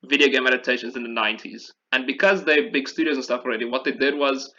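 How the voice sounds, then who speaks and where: A man's voice: mid-range voice, steady tone, fast talker, pushed and bright, dull audio. A man chatting casually and enthusiastically about video games in an informal way, as a podcast host.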